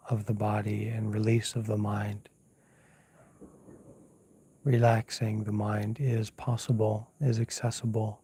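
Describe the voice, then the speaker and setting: A man teaching as a monk leads an unscripted guided meditation in a hushed, soft, slightly husky voice, unhurried and pausing often as he gently gives instructions. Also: fairly low pitch. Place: outdoors at night, lying down under a mosquito net.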